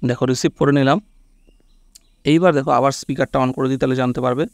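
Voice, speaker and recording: A man's voice: medium pitch, fairly even intonation, fast talker, no echo, clean studio sound. A man hosts a casual step-by-step phone tutorial, instructing and often checking that the listener follows. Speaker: tech tutorial host.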